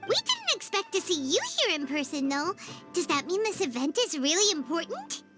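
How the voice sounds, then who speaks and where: Very high, squeaky fairy sidekick. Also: female voice, sing-song, normal speed. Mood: eager, curious. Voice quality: squeaky, childlike. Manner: bubbly, refers to self in third person. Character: small excitable female fairy companion, childlike and chirpy. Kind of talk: animated video game voice acting. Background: playful background music.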